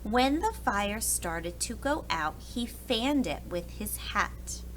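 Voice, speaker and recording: A woman preschool teacher reading a storybook aloud to young children in an expressive, deliberate way. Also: medium pitch, slight background noise, low-quality recording.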